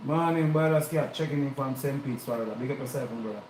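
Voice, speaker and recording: A laid-back man co-hosting a live stream, giving viewers shout-outs in sound system slang. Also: medium pitch, fast talker. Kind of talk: casual live stream chat. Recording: echoey room with noise.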